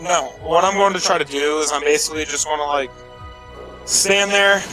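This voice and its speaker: A man's voice: a little high, normal speed, loud music behind the voice, poor audio. Hesitant young male player. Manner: hesitant, full of "like". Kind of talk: casual game talk.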